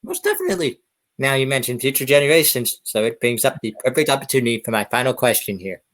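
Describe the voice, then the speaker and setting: A man's voice: mid-range voice, sing-song, quick pace, a bit bright. A man hosting a podcast interview in a friendly, conversational style, putting questions to a guest.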